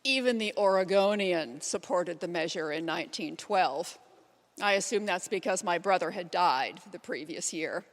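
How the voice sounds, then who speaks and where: A woman playing a determined, plain-spoken pioneer suffragist, telling her life story in measured readers theater style. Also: lowish voice, close to an everyday voice. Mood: proud, reflective. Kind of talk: readers theater first-person monologue. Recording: reverberant hall sound.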